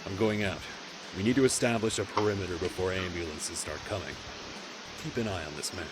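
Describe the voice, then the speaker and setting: Man acting low-voiced, smug commanding general. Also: heavy background noise, natural voice. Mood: commanding, calm. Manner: measured, condescending. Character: smug, commanding male general pulling rank. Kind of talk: visual novel voice acting. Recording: music behind the voice.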